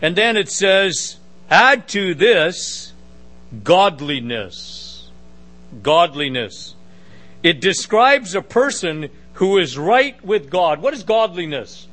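A middle-aged man with a big booming voice preaching a sermon, emphatic with conversational asides. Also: big pitch swings, slow pace, fairly bright tone.

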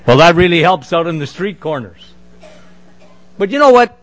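A middle-aged man with a big booming voice preaching a sermon, emphatic with conversational asides. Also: big pitch swings, moderate pace, a bit bright.

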